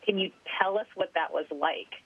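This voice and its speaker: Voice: medium pitch, moderate pace, breathy edge. A curious, chatty podcast host asks an interview guest questions. The voice comes through a thin, phone-like line. The sound is close and dry, with no room echo.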